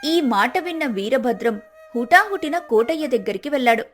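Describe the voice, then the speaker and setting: A woman's voice: high voice, lively intonation, normal speed, faint music behind. A woman narrator telling a scripted folk tale.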